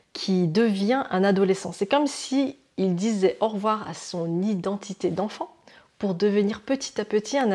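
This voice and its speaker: A woman's voice: mid-range voice, a little mellow. A French woman hosting her own astrology channel, talking casually to camera with many hesitations and restarts, using vivid images. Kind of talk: casual explanatory monologue to camera.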